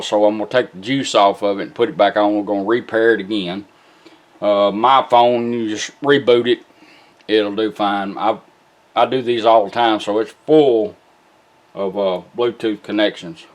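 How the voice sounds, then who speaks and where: A man's voice: lowish voice, steady tone, normal speed. A plainspoken, folksy man giving a casual hands-on product walkthrough. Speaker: presenter demonstrating restored vintage car radios. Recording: music playing behind the voice. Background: music from the demonstrated radio.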